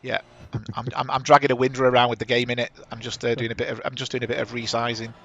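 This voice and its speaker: A man's voice: medium pitch, level tone, rapid-fire, fairly bright tone, highs cut off. A chatty man hosting a sim racing stream on a headset, talking over the action and correcting himself. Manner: chatty, rambling, self-correcting. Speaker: sim racing broadcast host. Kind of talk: live race commentary.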